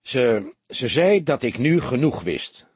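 A man's voice: medium pitch, big pitch swings, takes it slowly, breathy edge, dry recording, heavily acted. A man voicing an earnest young man who reports to the police in a hesitant, deferential way, in a storybook reading. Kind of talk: audiobook character dialogue.

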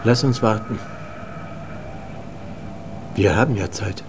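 An actor playing a calm, probing man in a naturalistic radio drama, in an intimate, strained talk. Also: on the low side, slow, background noise. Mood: calm, curious.

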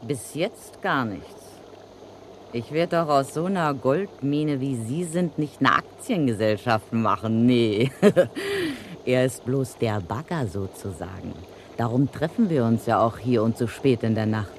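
An actress playing a cocky, slangy widow of a crook who blackmails a murderer, in a vintage radio play. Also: female voice, very deep voice, expressive, measured pace, a little hiss or hum. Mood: scheming.

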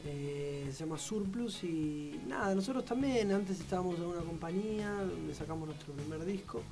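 A band member calmly explains the band's independent work during a radio interview. The voice has a medium pitch, and music plays clearly in the background. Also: normal speed. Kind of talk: casual interview answers.

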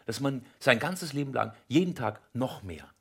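A man's voice: mid-range voice, very animated, moderate pace, vocal fry, heavy background noise. An actor playing a preachy, dismissive married man in an improvised radio comedy, arguing insistently and cutting in. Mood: insistent, earnest. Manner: argumentative, dismissive, insistent, interrupting. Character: combative, preachy husband defending infidelity, married man.

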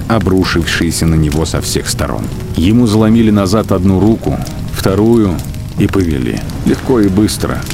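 A man narrating a literary radio drama in measured, emphatic short phrases. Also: low voice. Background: music under the narration.

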